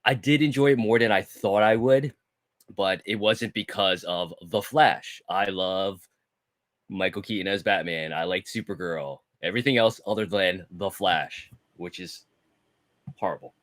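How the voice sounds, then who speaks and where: A man's voice: low-pitched, unhurried, fairly bright tone, no echo, studio quality. A man sharing opinions as a panelist in a casual movie discussion. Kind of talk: casual chat, giving opinions.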